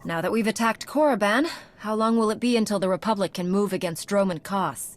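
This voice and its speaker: A woman's voice: medium pitch, brisk, close to an everyday voice. An actress voicing a resolute female Jedi knight in a game cutscene. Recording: game audio with music.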